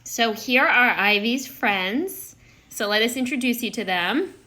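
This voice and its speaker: A woman's voice: on the high side, expressive, unhurried, pushed and bright. A woman co-hosting a live story time, chatting casually to viewers. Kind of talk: casual chat to a live audience. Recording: clear background noise.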